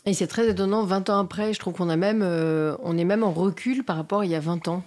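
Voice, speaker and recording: A woman's voice: fairly low pitch, brisk, darkish tone. A woman hosting a TV panel discussion, talking emphatically with frequent fillers. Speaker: talk show host.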